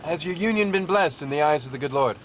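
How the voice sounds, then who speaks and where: An actor playing a pious, officious border officer in an audio drama, clipped, procedural and coldly insistent. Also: male voice, a little high, lively intonation, quick pace, a little hiss or hum, close to an everyday voice.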